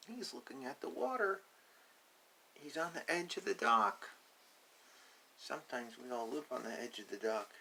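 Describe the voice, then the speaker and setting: Man, storybook read-aloud, very animated pitch, creaky edges, unhurried, playful asides, faint hiss. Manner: playful, simple explanatory asides. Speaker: picture book reader. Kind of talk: read-aloud to young children with casual asides.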